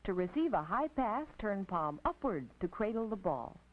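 An American woman narrating an instructional film as a teacher, in a precise, didactic, scripted manner with measured phrasing. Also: mid-range voice, sing-song. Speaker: narrator and physical education instructor. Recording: tinny, band-limited 1950s film soundtrack.

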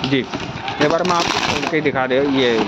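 A man's voice: medium pitch, measured pace, heavy background noise. A man hosting a walking tour of a crowded wholesale toy shop, asking the seller for prices and repeating each one back to his viewers. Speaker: market video host.

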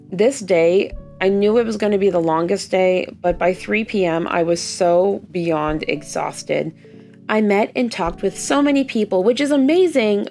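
A young Canadian woman with a hoarse, gravelly voice, chatting casually in a vlog voice-over about her weekend. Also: medium pitch, darkish tone. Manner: chatty, upbeat recounting. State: hoarse, lost voice. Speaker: doll artist vlogger.

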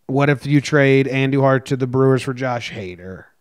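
A man's voice: medium pitch, moderate pace, smooth. A young man co-hosting a podcast, giving short, blunt, skeptical replies in casual sports chat, speaking into a large mic at home. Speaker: podcast co-host. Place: home room, indoors. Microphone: large studio mic close up.

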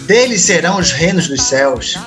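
A man's voice: fairly high pitch, lively intonation, normal speed, music in the background, close to an everyday voice. A man playing an irreverent, sardonic crony in an audio drama.

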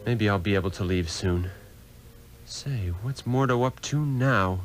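An actor playing a skeptical, earnest doctor in a radio drama, speaking dramatically in short phrases. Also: male voice, on the low side, a little slow, slight background noise, natural voice. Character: skeptical, earnest Western male doctor turned seeker. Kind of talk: 1960s-era radio drama acting.

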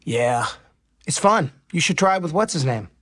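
A man's voice: a little high, lively intonation, normal speed, a bit bright. An actor playing a cocky, teasing male pro baseball player in a romantic comedy audio drama. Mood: teasing, unbothered.

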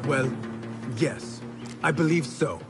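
An actor playing an earnest young assassin apprentice in a video game, respectful and formal. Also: male voice, fairly high pitch, slow, over music. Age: young adult. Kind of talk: dramatic video game acting.

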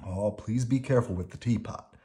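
A calm, kindly storekeeper, read by a man. He speaks as an everyday grown-up in a children's storybook. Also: lowish voice, brisk, natural voice.

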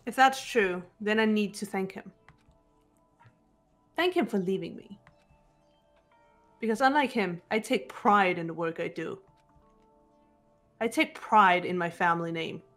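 A woman voicing a composed, guarded teenage girl detective in a video game, speaking in a measured, serious way, with bitterness held in check. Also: medium pitch, a bit bright, natural voice. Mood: controlled, reflective. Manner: measured, serious, deliberate delivery. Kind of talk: amateur video game voice acting. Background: background music.

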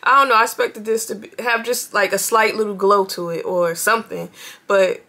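A woman's voice: mid-range voice, moderate pace. A young woman beauty vlogger with a cold, talking casually to viewers from her bedroom. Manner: relaxed, chatty, addresses viewers as y'all. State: has a cold, sniffly. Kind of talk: casual makeup demo. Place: bedroom, indoors, seated before a headboard.

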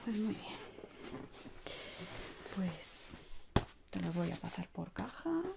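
A woman in her thirties with an accent from Spain, whispering softly and soothingly in an ASMR shop assistant roleplay, close to the mic. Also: on the low side, sing-song, takes it slowly, creaky, very noisy. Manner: soft, soothing whispering and murmuring. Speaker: ASMR creator playing a shoe shop assistant. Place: small indoor room.

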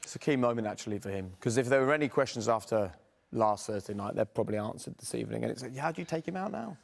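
A man presenting a football studio show, putting brisk prompting questions to his pundits. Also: mid-range voice, animated, creaky. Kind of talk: leading a pundit discussion.

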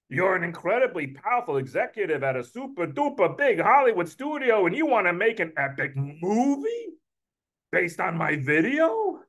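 A man voicing an excitable, starstruck adult uncle with a Brooklyn accent, reading a children's book aloud. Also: sits high, pitched above the performer's own voice, exaggerated voice. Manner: excitable, repetitive, incredulous.

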